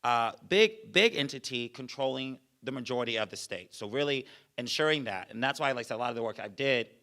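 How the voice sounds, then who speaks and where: Young man, candidate at a forum, bright ringing voice, ums and restarts. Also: mid-range voice. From Georgia. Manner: loose, with many fillers and restarts. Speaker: congressional candidate. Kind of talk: answering questions at a candidate forum.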